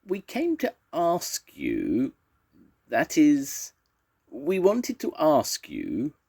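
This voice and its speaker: A little squeaky shy animal for a kids story, high voice, super polite and halting. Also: male voice, huge pitch swings, creaky, darkish tone, heavily acted. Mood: timid, polite. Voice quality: very small, squeaky voice. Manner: hesitant, polite. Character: small timid earnest storybook animal named Basil.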